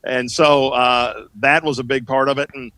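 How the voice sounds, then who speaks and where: A middle-aged man, a sports broadcaster, reminiscing as a guest in a casual conversation, telling anecdotes in a halting, searching way. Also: mid-range voice, steady tone, sharp tone, no room sound, rough recording.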